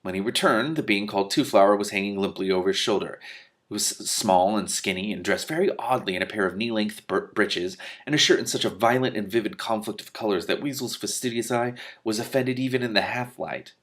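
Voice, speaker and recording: A man's voice: on the low side, fairly quick, fairly bright tone. A man reading a novel aloud as host, acting out dialogue expressively and adding casual asides.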